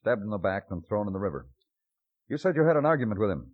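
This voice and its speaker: An actor playing a weary, hard-boiled New York police detective, terse and clipped, in old radio crime style. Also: male voice, on the low side, big pitch swings, fast talker. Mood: probing, terse. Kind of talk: old-time radio crime acting and noir narration. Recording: muffled vintage radio recording.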